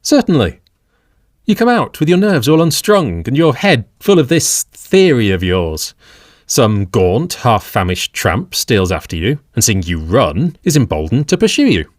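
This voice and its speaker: Expressive sceptical Victorian gentleman, acted by a man. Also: mid-range voice, unhurried, no room sound, clean studio sound. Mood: sceptical reasoning. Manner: reasoning, expressive, formal period speech. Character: sceptical, level-headed Victorian gentleman, avuncular friend. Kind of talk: audio drama acting.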